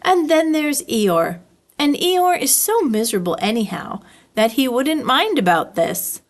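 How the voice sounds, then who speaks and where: A woman's voice: high voice, sing-song, measured pace. A woman voicing a small, timid young male piglet in a storybook, wistful and fretful. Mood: musing. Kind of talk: storybook read-aloud.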